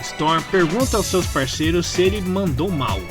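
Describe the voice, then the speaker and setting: Brazilian male narrator, fairly high voice, informal comic storytelling, clear background music. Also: lively intonation, moderate pace. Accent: Brazilian Portuguese. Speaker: narrator of a fan comic dub. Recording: music behind the voice.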